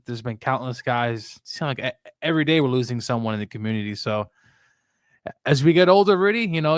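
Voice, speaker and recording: A man's voice: medium pitch, smooth, dry recording, clean studio sound. Man in reflective, casual sports talk. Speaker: sports show co-host.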